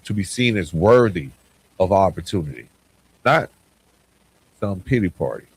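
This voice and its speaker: A man's voice: on the low side, poor audio. A man speaking informally and earnestly as a podcast host, reflecting solo in a conversational way.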